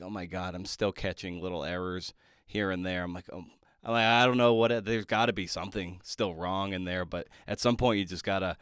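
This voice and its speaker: A male podcast host with a fairly low, very clean and resonant voice in a dry, close recording. He talks casually and informally, breaking off and restarting his thoughts as he goes. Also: a bit bright, clean tone, no echo. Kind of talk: casual conversational interview.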